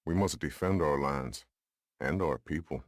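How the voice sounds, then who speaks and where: An actor playing a wise, resolute older Lakota uncle in a video game, speaking gravely and deliberately. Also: male voice, extremely low pitch, dry recording, studio quality. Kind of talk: scripted game cutscene acting.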